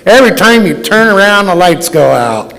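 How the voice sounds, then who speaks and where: A man's voice: high-pitched, big pitch swings, moderate pace, gravelly, pushed and bright, heavily acted. A man playing a grumpy, stubborn, dismissive family father in an old-time radio play performed on stage. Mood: grumbling. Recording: echoey hall stage recording.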